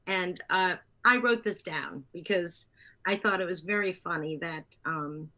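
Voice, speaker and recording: A woman being interviewed as a theater producer, talking conversationally and partly from notes, with halting pauses and self-corrections. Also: fairly low pitch, pushed and bright.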